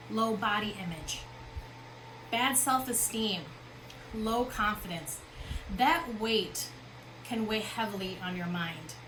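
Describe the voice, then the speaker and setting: Woman founder giving an expo talk, chatty and high-voiced, with steady noise from the hall behind her. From Philippines. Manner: conversational, with frequent fillers and check-ins. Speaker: founder and keynote speaker.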